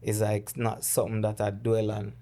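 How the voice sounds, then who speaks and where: Jamaican man speaking in an even tone. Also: on the low side. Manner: halting, searching for words, with many restarts. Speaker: guest, dancehall artist. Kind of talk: conversational interview, storytelling.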